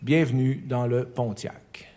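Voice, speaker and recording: Man, Quebec French accent, mid-pitched voice, intimate unhurried letter reading. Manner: calm, reflective, intimate. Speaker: letter writer. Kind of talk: reading a personal letter aloud. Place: recording studio. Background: faint music.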